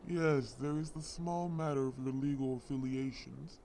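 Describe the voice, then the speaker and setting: A man's voice: medium pitch, steady tone, normal speed, vocal fry, mellow, a little hiss or hum, lightly acted. Man acting as a theatrical, smug crime lord villain. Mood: smug, menacing. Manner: drawling, mock-wounded, long-winded, politely threatening. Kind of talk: scripted audio roleplay acting.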